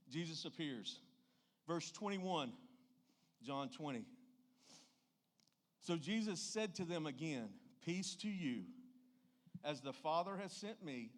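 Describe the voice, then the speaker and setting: A man with a Southern US accent preaching a church sermon in a folksy, plainspoken and emphatic way. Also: a little high.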